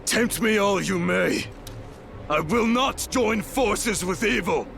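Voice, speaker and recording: A man's voice: high-pitched, takes it slowly, vocal fry, fairly bright tone, faint music behind, exaggerated voice. A man playing a defiant, righteous general in a video game, strained and resolute. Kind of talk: dramatic video game cutscene acting.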